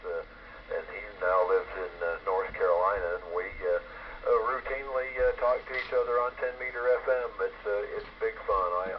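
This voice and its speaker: An American man chatting casually as an amateur radio operator in a folksy, halting way, heard over an FM radio transmission. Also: deep voice. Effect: FM shortwave radio transmission. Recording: muffled, noisy fading ham radio signal. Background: radio static.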